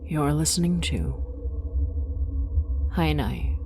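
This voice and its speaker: A woman's voice: sits low, very animated, slow, darkish tone, soft background music. A woman reading scripted announcements as a podcast announcer.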